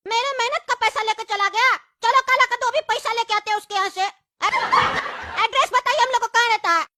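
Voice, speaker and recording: A performer voicing a brash, dramatic pumpkin boy in a Hindi cartoon, loud, scolding and melodramatic. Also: very high-pitched, fairly quick, very noisy. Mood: outraged, boastful.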